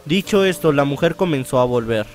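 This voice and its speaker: A man's voice: on the high side, expressive, a little fast, faint music behind. A man hosting a let's play, reading visual novel narration aloud and now and then stumbling and rereading phrases.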